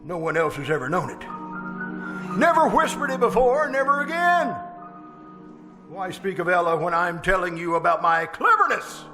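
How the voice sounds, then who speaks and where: A gloating murderer in an old-time radio chiller, his high voice swooping wildly as he cackles and lingers on favorite words. He boasts with theatrical relish, performed live with music behind him. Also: big pitch swings, sharp tone, heavily acted. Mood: imperious, agitated. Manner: cackling, theatrical, savoring pauses and repeated words. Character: gloating, boastful male serial killer showing off his murder house. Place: live performance at a winery venue. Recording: live stage radio play over music.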